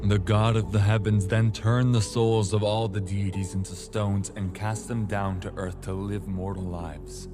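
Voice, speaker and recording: A man's voice: fairly low pitch, level tone, lightly acted. A man playing a grave storyteller, narrating an ancient legend in a scripted fantasy audio drama. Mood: solemn storytelling. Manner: solemn, measured storytelling. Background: music.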